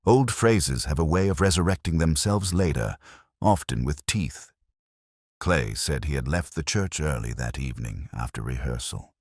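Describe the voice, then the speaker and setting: A man reading a scripted first-person detective story in a measured, literary, wry manner. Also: a bass voice, no room sound, clean studio sound. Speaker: narrator.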